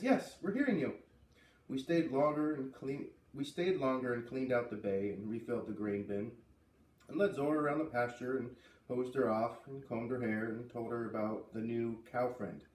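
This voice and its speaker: A man's voice: medium pitch, moderate pace, a little mellow. A man hosting a read-aloud, narrating a children's book. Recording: echoey room, distant mic, faint noise.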